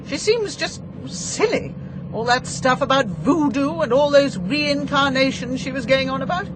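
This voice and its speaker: A British actress playing a brisk, opinionated, tartly dismissive Englishwoman in a radio drama. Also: high voice, animated, noisy background. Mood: disapproving.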